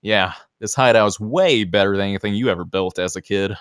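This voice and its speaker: A man's voice: on the low side, fairly quick, fairly bright tone, clean tone, no echo, studio quality. A man narrating screenplay stage directions at a table read in a dramatic, punchy way.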